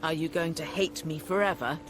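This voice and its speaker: An actress playing a loyal, respectful and confident young adventurer, a former pupil, in a video game. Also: female voice, deep voice, steady tone, brisk, close to an everyday voice. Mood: wry, concerned. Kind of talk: video game cutscene acting. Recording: music under the voice.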